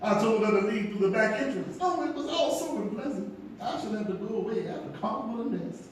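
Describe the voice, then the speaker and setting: A man's voice: high voice, expressive, brisk, recorded from a distance, slight background noise. A man playing a fussy, catty costume designer in a period stage mystery, haughty and affected, repeating phrases for emphasis. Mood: defensive, catty. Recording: echoey live theatre recording from afar.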